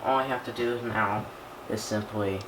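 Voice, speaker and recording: A man casually talking through an unboxing and setup as he works, halting and half muttering to himself. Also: fairly low pitch, fairly even intonation. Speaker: YouTuber unboxing and setting up a radio. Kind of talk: casual unboxing narration while handling items. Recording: echoey room with clear background noise.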